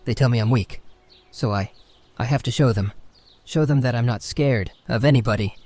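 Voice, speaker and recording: A man playing a troubled young orphan boy, proud and misunderstood, who talks in a halting, defensive, earnest way in a fantasy horror audio drama. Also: medium pitch, darkish tone, close to an everyday voice.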